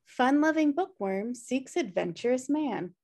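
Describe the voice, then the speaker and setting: A woman's voice: high voice, expressive, unhurried, darkish tone, full character voice. A woman playing a sardonic, pushy slacker sister in her thirties, dry and teasing, at a comedy table read on a video call. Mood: breezy, pushy. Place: home room on a video call.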